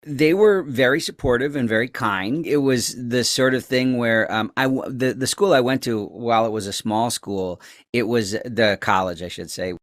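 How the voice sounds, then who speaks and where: A man's voice: mid-range voice, moderate pace, dry recording, studio quality. A man chatting casually as an interview guest, rambling along in a self-effacing way.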